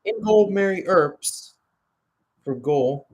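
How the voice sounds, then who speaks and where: A man giving live radio-style football play-by-play, halting and correcting himself in places, then surging with the action. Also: high-pitched, expressive, darkish tone.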